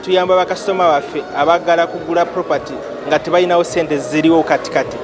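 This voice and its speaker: A man with a Ugandan accent, a bank official, quickly explaining loan offers in an interview soundbite. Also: on the high side, fairly even intonation. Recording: outdoor noise with traffic.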